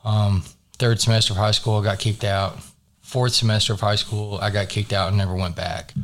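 A man in his thirties who grew up in North Carolina, a guest in recovery talking in a relaxed, halting way with many fillers. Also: fairly low pitch. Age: 30s. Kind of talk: casual interview. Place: studio.

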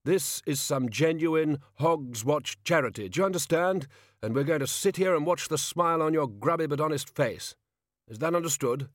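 A man's voice: medium pitch, animated, measured pace, a little mellow, dry recording, clean studio sound, pitched above the performer's own voice, natural voice. A man voicing a hearty, overbearing storybook king with pompous, jovial upper-class bluster. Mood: sharp, insistent. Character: hearty, overbearing king, forcing his charity.

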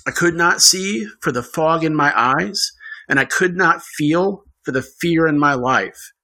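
Upbeat guy hosting a podcast, kinda high bright voice. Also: measured pace. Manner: enthusiastic, conversational. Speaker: podcast host. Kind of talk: casual chat.

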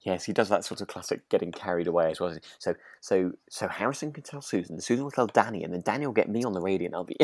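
A British man with a low voice and very lively intonation, recorded close and dry. He co-hosts a relaxed podcast chat, circling back and restarting his phrases as he talks. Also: fast, hardly any pauses, no echo. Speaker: podcast co-host. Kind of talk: relaxed chat discussing a radio drama.

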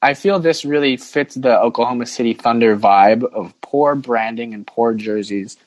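A man's voice: medium pitch, moderate pace, no room sound, low-quality recording. A man hosting a casual sports podcast, chatting informally and giving firm opinions. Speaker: podcast host.

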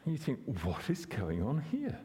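A man's voice: medium pitch, huge pitch swings, moderate pace, warm tone, long reverb tail. A man preaching a sermon, conversational and questioning, often repeating words as he corrects himself. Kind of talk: expository sermon.

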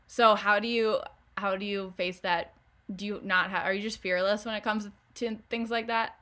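Lady hosting her podcast, chatty and laughy, bright voice. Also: medium pitch, steady tone, moderate pace. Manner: chatty, laughing, playful questions. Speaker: podcast host. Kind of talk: casual podcast interview.

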